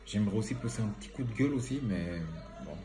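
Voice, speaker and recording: A man's voice: lowish voice, expressive, quick pace, echoey room, music in the background. A man with a cold and a slightly stuffy voice, giving a casual, rambling commentary full of hesitations and fillers. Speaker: environmental vlogger.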